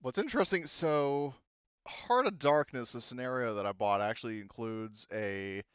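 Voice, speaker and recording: A man running a casual tabletop game as game master, explaining rules and narrating in an informal, halting way with frequent restarts. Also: mid-range voice, smooth, no echo, lo-fi sound.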